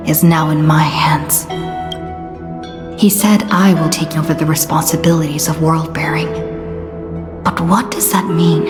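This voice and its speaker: An actress playing a thoughtful, burdened young game heroine, musing to herself in a hushed, breathy, introspective way. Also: female voice, low-pitched, in a large hall. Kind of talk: scripted video game monologue.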